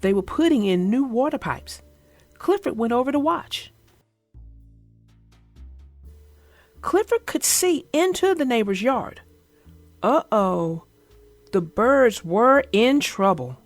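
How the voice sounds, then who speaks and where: A woman voicing an earnest young girl who tells about her dog in a simple, expressive storybook read-aloud. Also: a little high, a little slow, no echo, faint music behind. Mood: earnest, hopeful.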